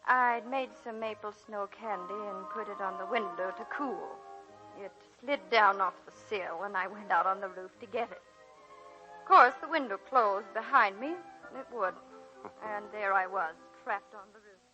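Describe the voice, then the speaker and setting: A woman playing a proper, kindly young schoolteacher with an expressive, slightly prim delivery, in a vintage 1940s radio drama. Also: mid-range voice, moderate pace, muffled recording, faint music behind, close to an everyday voice. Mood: fond reminiscing.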